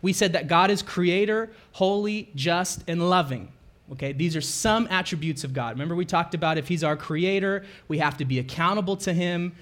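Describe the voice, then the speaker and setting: A man preaching to his congregation in a relaxed, conversational way, often correcting himself and checking in with listeners, on a microphone through a church sound system. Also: high-pitched, normal speed. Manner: conversational, self-correcting, asks the congregation questions. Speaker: pastor. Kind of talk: sermon. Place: church sanctuary.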